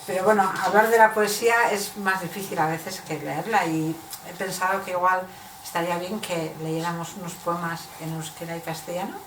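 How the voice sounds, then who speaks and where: A low-voiced woman poet speaks at an informal reading. She is hesitant and corrects herself, heard from a distance in an echoing, noisy room. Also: fairly even intonation, normal speed. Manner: hesitant, self-correcting, searching for words. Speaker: poet presenting and reading own work. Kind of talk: informal book presentation and poetry reading. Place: bookshop event.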